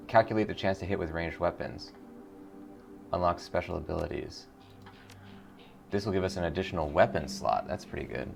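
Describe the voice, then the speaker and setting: A man with a low voice hosts a casual video game playthrough. He is informal and enthusiastic as he comments, and faint music plays behind him. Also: creaky. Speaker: gaming show host.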